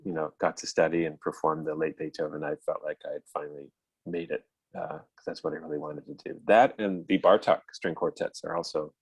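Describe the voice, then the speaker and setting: A middle-aged American man on a video call, giving thoughtful, halting interview answers as a guest musician. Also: rumbling low, steady tone, husky, no room sound, low-quality recording. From United States. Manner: reflective, halting. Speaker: guest violist. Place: indoors at home, on a video call.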